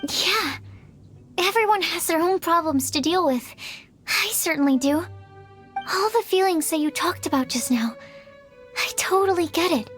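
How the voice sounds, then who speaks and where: A woman's voice: very high voice, animated, faint music behind, heavily acted. An actress playing a shy, earnest, bookish young woman alchemist in a video game, speaking hesitantly and awkwardly. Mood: earnest, reassuring. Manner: hesitant, soft-hearted, halting phrasing.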